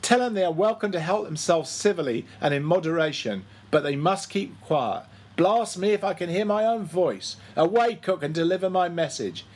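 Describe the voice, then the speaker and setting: Man playing a bossy ship's officer, high-pitched bellow. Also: expressive, normal speed, few pauses, darkish tone, husky. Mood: complaining, commanding. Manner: imperious, hectoring, exclamatory. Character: bossy, mocking ship's officer, brash and hearty. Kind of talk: audiobook character voice.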